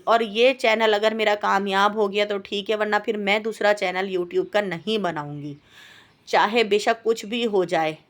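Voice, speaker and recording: Woman, sore throat with coughs, plaintive, rambling voice-over, home baker. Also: mid-range voice. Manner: plaintive, complaining, rambling with hesitations. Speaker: home baker and cooking channel host. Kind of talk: voice-over vlog chat. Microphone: home voice-over mic.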